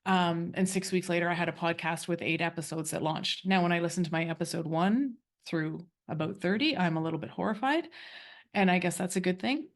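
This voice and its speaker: A woman hosting a group coaching call, talking in a bubbly, quick, talkative way. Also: fairly low pitch. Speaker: course host.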